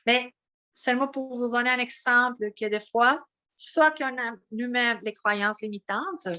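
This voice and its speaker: Bright-voiced woman. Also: fairly high pitch, measured pace. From Mexico. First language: Spanish. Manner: animated storytelling with many restarts. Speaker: guest. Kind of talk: casual interview, personal story.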